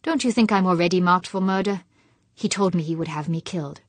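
A woman's voice: lowish voice, fairly quick, dry recording, close to an everyday voice. A woman voicing a bitter, enraged husband who snaps and growls his lines in an audiobook. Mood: furious. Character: furious middle-aged male husband.

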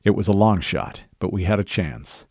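A terse military commander acted by a male narrator, stoic and resolute, in a science fiction audiobook. His voice sits low and is delivered in a measured way, recorded close and dry. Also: animated, creaky, darkish tone, no echo, highs cut off, full character voice. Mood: steady, determined. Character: stoic male armored super-soldier chief, terse, resolute. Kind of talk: audiobook character voice.

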